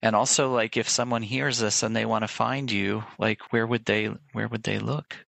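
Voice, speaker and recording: A man hosting a podcast, asking questions in a relaxed way, hedging with fillers. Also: fairly low pitch, normal speed, vocal fry, no room sound. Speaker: podcast host. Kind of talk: casual podcast interview.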